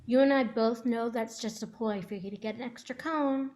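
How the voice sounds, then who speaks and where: A woman's voice: a little high, normal speed, darkish tone, natural voice. A woman playing a teasing, knowing mother in a dramatic script reading. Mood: playful teasing.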